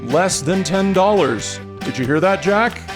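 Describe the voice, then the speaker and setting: A man playing an eager sponsor's pitchman, reading an old-time radio commercial over guitar music. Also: lively intonation, unhurried. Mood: upbeat.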